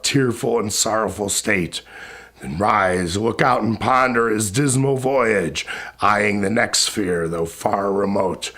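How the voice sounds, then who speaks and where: A man with a low, creaky voice recites epic verse very slowly. His delivery is solemn and declamatory, swelling suddenly and then sinking into hushed phrases. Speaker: poetry reciter. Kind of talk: dramatic recitation of epic poetry.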